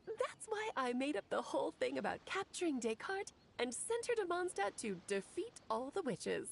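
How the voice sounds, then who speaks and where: A woman's voice: high voice, big pitch swings, unhurried, creaky, few pauses, noisy background, exaggerated voice. A woman voicing a playful, theatrical witch mentor who talks in a grand, teasing and affectionate way, in video game dialogue. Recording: faint game music behind.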